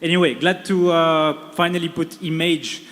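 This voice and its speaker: A man from France, moderating a conference panel in an upbeat, halting way with many fillers. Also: on the high side, steady tone, a bit bright. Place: conference stage in a large hall. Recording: long hall reverb.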